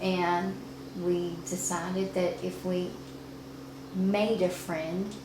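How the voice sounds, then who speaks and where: A middle-aged woman telling family stories in a chatty, animated way as a regular on a family channel. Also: on the low side, drawn-out words. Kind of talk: casual storytime chat. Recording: echoey room with faint noise.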